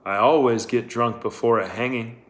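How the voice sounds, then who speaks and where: A man voicing a drunken, menacing small-town sheriff in a storybook, leering in a slow drawl. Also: mid-range voice, fairly even intonation, natural voice. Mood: smug.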